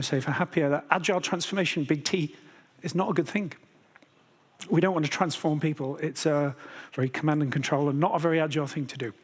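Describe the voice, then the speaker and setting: A British man in his fifties giving a conference keynote talk, measured and unhurried, with frequent filler sounds. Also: a little high. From Britain. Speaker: keynote speaker. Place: large conference hall. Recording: hall reverb.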